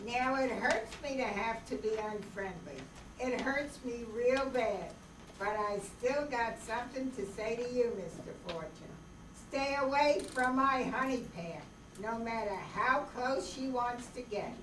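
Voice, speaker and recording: Lady doing a folksy polite gunman, lovesick, old radio play, kinda high voice, noisy far off mic. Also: measured pace, lightly acted. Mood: polite menace. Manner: drawling folksy grammar, courteous while threatening. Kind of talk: old-time radio drama acting. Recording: roomy, noisy, distant microphone stage recording.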